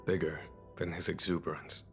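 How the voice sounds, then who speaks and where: An actor playing a calm, weary general and wise mentor in a video game, speaking in a measured, formal, deliberate way. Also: male voice, deep voice, soft background music. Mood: regretful, musing. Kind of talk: scripted video game voice acting.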